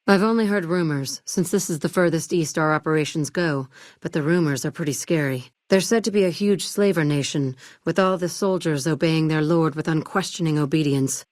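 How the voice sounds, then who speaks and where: A cool, calculating businesswoman from a video game, acted by a woman, speaks in a low register. Her delivery is measured and curt, with a condescending, businesslike edge. The recording is dry, with no room around the voice. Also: no echo, lightly acted. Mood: measured, disdainful. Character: cool, ruthless businesswoman running a family arms business. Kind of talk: video game character dialogue.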